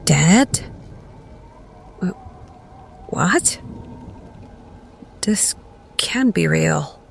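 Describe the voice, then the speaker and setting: A woman playing a haunted, grieving young adventurer in an audio drama, with an anguished, halting delivery. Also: on the low side, lively intonation, slight background noise, full character voice. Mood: shock, disbelief. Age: young adult. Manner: anguished, halting, breathy at times.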